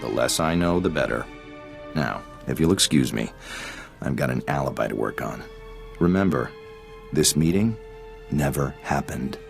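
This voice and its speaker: Shady spy contact for a game, extremely low, creaky, casual and evasive. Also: male voice, animated, normal speed, pauses often, soft background music, heavily acted. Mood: conspiratorial. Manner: wry, offhand, conspiratorial. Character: world-weary, sly male intelligence contact, casual and evasive. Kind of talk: video game dialogue acting.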